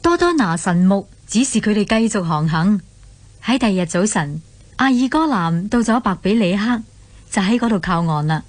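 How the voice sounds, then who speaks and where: A woman's voice: medium pitch, animated, moderate pace, no room sound. A woman with a Hong Kong accent, narrating a story dramatically as in a scripted radio drama.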